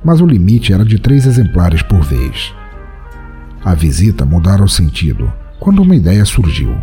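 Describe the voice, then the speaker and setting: A man's voice: sits low, huge pitch swings, moderate pace, mellow, faint music behind. A Brazilian man playing a world-weary, broke bohemian writer, narrating an audio drama in a reflective, wistful manner. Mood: resigned, reflective. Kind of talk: first-person literary audio drama narration.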